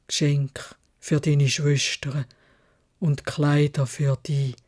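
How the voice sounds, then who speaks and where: A woman voicing a gentle, heartbroken male beast in a Swiss German fairy tale, speaking in a halting, heavy-hearted way. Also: sits low, flat delivery, pitched below the performer's own voice. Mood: resigned, sad.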